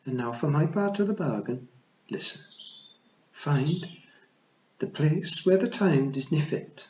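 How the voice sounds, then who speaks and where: A man voicing a tiny, shrewd old female pixie chieftain with a broad Scottish burr, warm, teasing and commanding. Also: on the high side, very animated, a little slow, a little hiss or hum, voice pushed up. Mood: weakening. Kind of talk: storybook reading. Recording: roomy, slightly dull recording.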